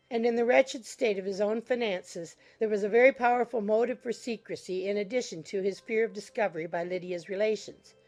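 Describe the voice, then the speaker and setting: A woman giving scripted literary narration for a dramatized audiobook. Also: mid-range voice. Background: soft background music.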